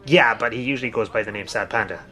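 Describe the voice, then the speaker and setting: A man's voice: medium pitch, fast talker, bright, forceful tone, faint music behind, close to an everyday voice. A man playing a dry, sarcastic teaser who enjoys trolling his friends in a comedy web drama. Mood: sardonic. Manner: dry, sarcastic, mock-formal. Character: sardonic trolling man who carries a stuffed monkey.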